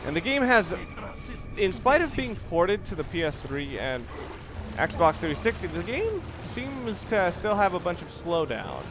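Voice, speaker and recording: A man giving casual let's-play commentary as he plays, rambling and halting, often repeating and rephrasing himself. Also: high voice, big pitch swings. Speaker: gaming video host, player. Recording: clear background noise under voice.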